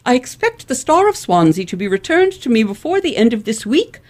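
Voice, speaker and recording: Radio play role: vain, haughty elocution madam, acted by a woman, very animated, formal delivery. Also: on the high side, brisk. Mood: imperious, threatening. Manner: pompous, formal, emphatic enunciation. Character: proud, vain, imperious elocutionist lady, easily outraged. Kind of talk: old-fashioned radio play acting.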